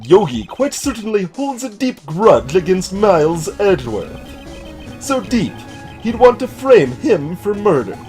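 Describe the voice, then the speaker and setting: A man voicing a genial, pompous older gentleman lawyer in a video game, ponderous and probing. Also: high voice, big pitch swings, a little slow, faint music behind. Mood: grave, deliberate. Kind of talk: voiced visual novel game dialogue.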